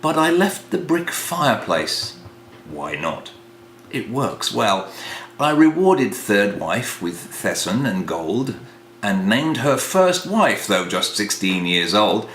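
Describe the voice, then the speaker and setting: A man's voice: on the low side, very animated, slow pace. A man reads narrative verse aloud in a theatrical storyteller's style. He takes his time, shaping each phrase for drama. The room echoes around him, with a faint hum underneath. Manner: dramatic, hushed passages rising to exclamations. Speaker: reader of a comic poem. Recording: roomy echo, faint hum.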